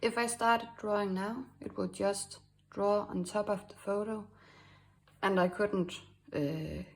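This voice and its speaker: A woman from Denmark with a Danish accent, hosting a drawing tutorial in a halting way with many fillers and soft asides. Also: mid-range voice, steady tone. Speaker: art tutorial host. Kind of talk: screen-recorded drawing tutorial.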